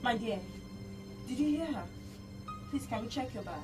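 An actress playing a boutique owner who flatters customers and scolds her staff. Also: on the high side, moderate pace, over music, close to an everyday voice. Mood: pleading. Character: fawning, bossy female boutique owner.

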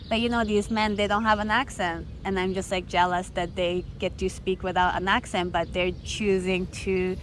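A young woman with a Japanese accent, speaking frankly and casually as a filmmaker guest in an interview, using many fillers. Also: lowish voice. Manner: lively, frank, many fillers like "like" and "you know". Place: festival setting. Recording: noisy ambience behind.

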